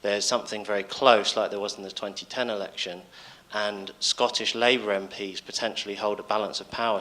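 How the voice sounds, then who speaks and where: A man's voice: on the low side, sharp tone, a little hiss or hum, rough recording. A man in the audience asking a long question from the floor, hesitant and full of ums.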